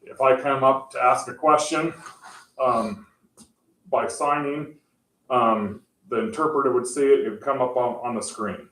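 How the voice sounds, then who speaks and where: A man explaining tech options to a city council, halting and full of fillers and restarts. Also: mid-range voice, fairly even intonation. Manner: says he has a loud voice. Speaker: tech presenter at council meeting. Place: council meeting room. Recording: echoey room, far from mic.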